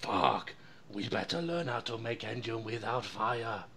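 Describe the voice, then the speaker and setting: Gravelly British podcast host. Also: male voice, fairly low pitch, unhurried, slight background noise. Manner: relaxed, lots of fillers, runs the show. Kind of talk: casual chat.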